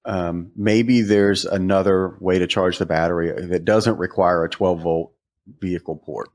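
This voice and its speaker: A man with a fairly low voice chats casually about gear as a podcast co-host. His delivery is halting, and he works through his thoughts out loud. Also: fairly even intonation. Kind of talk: casual product discussion.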